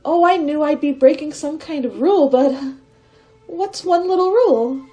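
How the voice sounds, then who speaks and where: A woman's voice: sits high, moderate pace, dark tone, dull audio, soft background music, close to an everyday voice. A woman playing a naive, carefree young stowaway in a radio play, innocent and chatty. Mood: innocent, unworried. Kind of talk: dramatic radio play acting.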